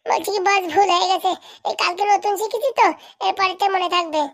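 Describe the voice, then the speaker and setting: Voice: extremely high pitch, steady tone, quick pace. A performer voicing an eager, fawning young village boy who trails after his boss in a comic cartoon. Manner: deferential, chatty, fawning.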